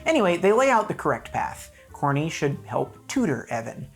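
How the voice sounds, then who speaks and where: A woman's voice: sits low, sing-song, normal speed, faint music behind. A woman narrating a scripted comedic video review in a wry, mock-serious, emphatic way.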